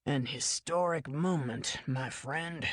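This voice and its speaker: Woman reading a deep, slow, grandiose dying brute; dry close mic. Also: no echo. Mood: serene. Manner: slow, low, pompous and unhurried. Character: big dying male killer, grandly calm.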